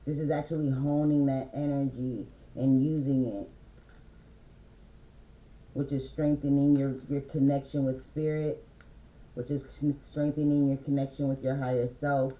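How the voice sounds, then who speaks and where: A man giving a relaxed, meandering spiritual card reading, reading affirmations aloud slowly, with many fillers. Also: medium pitch, echoey room, low-quality recording. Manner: slow, reads affirmations aloud. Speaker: spiritual reader.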